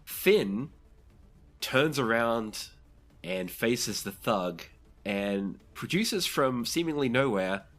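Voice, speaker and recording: A man's voice: mid-range voice, expressive, takes it slowly, fairly bright tone, soft background music. A man acting as game master, narrating the action and refereeing the rules of a tabletop roleplaying game.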